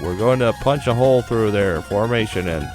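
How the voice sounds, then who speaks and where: A man's voice: fairly low pitch, moderate pace, music in the background, voice pushed up, close to an everyday voice. A man voicing a stern female squadron commander who barks clipped orders in a visual novel game. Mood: stern, urgent.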